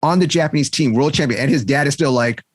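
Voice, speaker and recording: A man's voice: medium pitch, quick pace, no room sound, studio quality. A man co-hosting a casual podcast, rambling through stories and laughing often. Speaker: podcast co-host. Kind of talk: casual chat.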